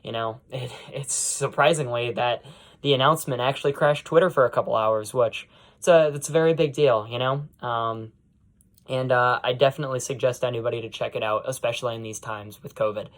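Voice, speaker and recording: A man's voice: medium pitch, fairly quick. Young man rambling casually on a podcast. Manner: rambling, peppered with "you know". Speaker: podcast host. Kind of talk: casual unscripted podcast monologue.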